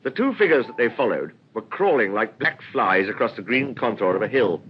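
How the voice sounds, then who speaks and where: A man's voice: mid-range voice, very animated, a little fast, close to an everyday voice. A man playing the narrator of a detective radio drama, reading scripted literary prose. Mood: descriptive. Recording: muffled recording with faint noise.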